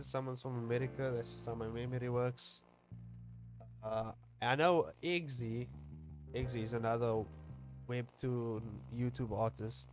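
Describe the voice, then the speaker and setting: A young South African man with a South African English accent, chatting casually on a livestream while he draws, rambling with lots of fillers and restarts. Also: medium pitch. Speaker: webcomic streamer.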